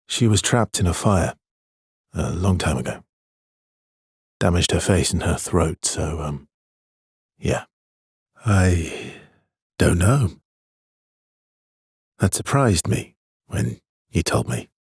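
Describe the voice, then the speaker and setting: An actor playing a brooding, gently teasing, guilt-worn man in a video game, with a husky, gravelly low voice and halting, understated delivery. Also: lively intonation, dry recording, clean studio sound, exaggerated voice. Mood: somber, subdued. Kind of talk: naturalistic video game character dialogue.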